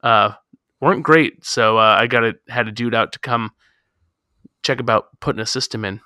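A man in his thirties rambles through a laid-back chat, joking about being lazy and cheap. His tone is bright and forceful, and the sound is dry and close even though he records in his garage at home. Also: mid-range voice, moderate pace, dry recording. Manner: rambling, self-deprecating, chatty. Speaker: podcast co-host. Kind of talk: casual chat.

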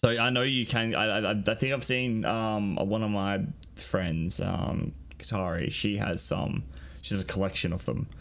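A man's voice: fairly low pitch, fairly even intonation, unhurried, vocal fry, fairly bright tone. A man in his thirties chatting casually as co-host, joking and teasing, with frequent swearing. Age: 30s. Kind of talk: casual chat.

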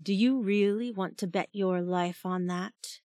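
Woman playing a slow, menacing male fire spirit. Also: medium pitch, a little mellow, dry recording, clean studio sound, close to an everyday voice. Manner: snarling, taunting.

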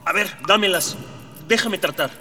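A man's voice: high voice, normal speed, natural voice. A man with a Mexican accent playing a principled, skeptical earthling, emphatic and earnest, in a radio drama. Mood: casual. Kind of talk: Spanish-language radio drama acting. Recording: noisy, long reverb.

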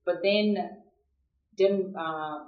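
Low-voiced Brazilian woman, halting and conversational. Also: narrow, tinny audio. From São Paulo, Brazil. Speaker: podcast guest, agribusiness professional. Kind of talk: candid conversational interview answers. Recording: roomy, echoey sound.

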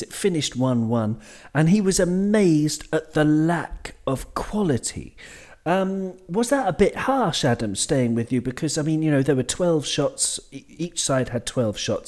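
Man, podcast host, upbeat, slightly high voice. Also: darkish tone. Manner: upbeat, enthusiastic interviewer. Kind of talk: casual podcast chat.

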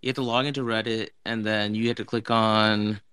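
Low-voiced man chatting casually, dry sound. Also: flat delivery, fairly quick, a bit bright, clear, resonant tone, no echo. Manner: informal, frequent "like", step-by-step. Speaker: participant in an online audio discussion. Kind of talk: casual explanatory chat.

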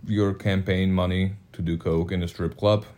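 A man with a low voice co-hosting a casual political talk show. He is informal and wry, and tends to repeat his phrases as he goes. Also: steady tone, normal speed, mellow. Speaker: podcast co-host. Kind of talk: casual political commentary chat.

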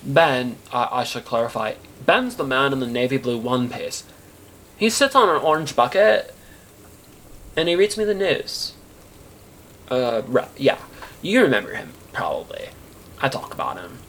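A man playing a disgruntled, defensive night-shift kitchen worker in a halting, rambling conversational monologue for an audio drama. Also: medium pitch, big pitch swings, a bit bright, slight background noise. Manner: halting, rambling, self-interrupting, filler-heavy.

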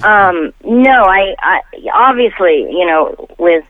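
A woman's voice: mid-range voice, expressive, slow pace, no echo. A middle-aged woman chatting casually and reflectively as an interview guest, in an easygoing conversational way. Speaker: podcast guest, musician. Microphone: phone call. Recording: thin, narrow telephone line.

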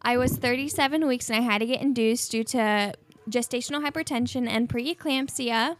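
Young female co-host, twenties, frank and chatty, slightly high voice. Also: normal speed. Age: 20s. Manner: candid, laughing, chatty storytelling. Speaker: podcast co-host. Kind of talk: casual chat.

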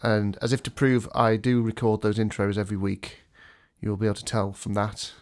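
A man's voice: on the low side, level tone, normal speed, darkish tone. A man hosting a casual podcast with a blocked nose from a cold, chatty and self-deprecating. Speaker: podcast host. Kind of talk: casual podcast chat with a scripted intro.

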